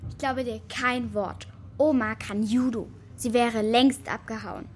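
A woman's voice: on the high side, fairly even intonation, measured pace, slightly rough. A woman playing a distrustful, stubborn young girl in a children's radio play, speaking curtly. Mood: suspicious. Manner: curt, suspicious.

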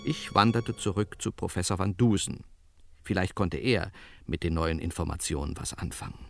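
An actor playing a detective's sidekick who narrates a crime radio play in a wry, colloquial way. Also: male voice, low voice, moderate pace, vocal fry, faint music behind. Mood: dry, matter-of-fact.

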